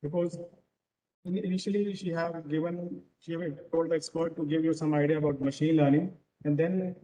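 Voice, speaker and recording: A man from Punjab with a Punjabi accent, giving an online lecture over a video call and pausing often with fillers. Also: a little high, steady tone, mellow, lo-fi sound. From Punjab, India. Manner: hesitant, many filler pauses. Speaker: guest lecturer.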